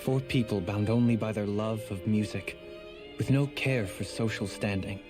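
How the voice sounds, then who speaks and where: A man acting as a refined nobleman in a video game, low and measured. He speaks formally and solemnly, with a melancholy weight, over prominent music. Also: lightly acted. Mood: wistful. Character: refined, melancholy young nobleman and sworn lord.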